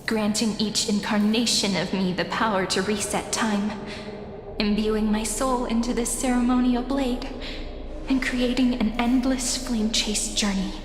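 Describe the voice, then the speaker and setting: A woman playing a playful, tender young heroine in a video game, speaking in a gentle, dreamy, unhurried way. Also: on the high side, steady tone, background noise, natural voice. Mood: solemn, resolute. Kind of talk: dramatic video game voice acting. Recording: echoey long reverb, music behind.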